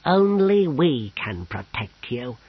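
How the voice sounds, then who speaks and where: Guy doing a creepy mool creature, real slow, gravelly and breathy, kinda dull sound. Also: medium pitch, very animated, pitched above the performer's own voice. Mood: sly.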